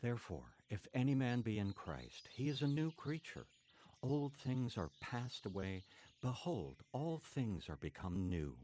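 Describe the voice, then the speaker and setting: Lively, devout young believer. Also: male voice, mid-range voice, a little slow, a little hiss or hum. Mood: earnest, reassuring. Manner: earnest, reassuring. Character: kind, devout young man sharing scripture. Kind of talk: radio drama acting.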